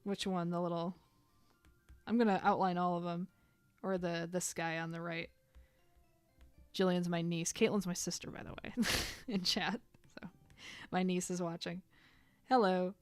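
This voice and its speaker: A woman's voice: fairly low pitch, fairly even intonation, unhurried, long pauses, clear, resonant tone, no echo, faint music behind. A woman in her thirties chatting casually while drawing on a live stream, rambling and filling gaps with "like". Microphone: desk microphone.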